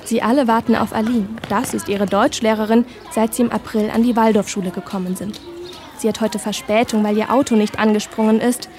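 A woman narrates a radio documentary feature. She reads calmly and descriptively. Her voice sits slightly high. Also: fairly quick. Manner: calm, descriptive reading.

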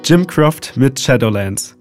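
A man presenting a radio program, reading a scripted introduction in a measured, clear announcing manner. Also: medium pitch, expressive, soft background music.

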